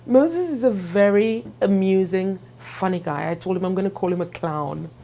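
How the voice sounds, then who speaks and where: A woman's voice: medium pitch, moderate pace, dark tone. A middle-aged woman from Kenya with a Kenyan accent, talking informally as a pediatric dentist. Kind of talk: informal interview talk.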